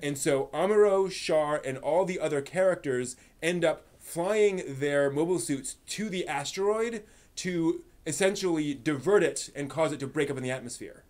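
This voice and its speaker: Higher-voiced man giving a casual review. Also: normal speed, darkish tone. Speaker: host reviewing anime on a live stream. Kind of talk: casual film review, explanatory.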